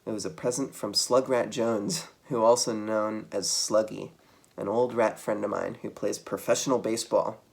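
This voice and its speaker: A man reading a children's book aloud as a storytime reader, with dramatic, expressive delivery. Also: on the low side, moderate pace, vocal fry, husky.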